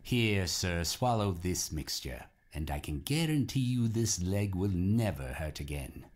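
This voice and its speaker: A man voicing a polite, assured male apothecary in an audiobook, with a courteous, deliberate salesman's patter. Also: low-pitched, big pitch swings, darkish tone, full character voice. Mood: assuring.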